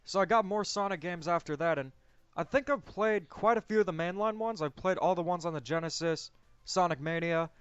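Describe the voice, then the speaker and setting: A man's voice: high-pitched, fairly quick, clean tone, dry recording, lo-fi sound. A young man speaking as a discussion host in a casual, conversational style. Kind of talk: casual chat.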